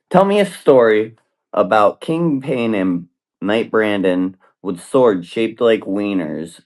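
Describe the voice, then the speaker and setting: A man chatting casually and dictating a story prompt, halting as he thinks aloud. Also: lowish voice, low-quality recording.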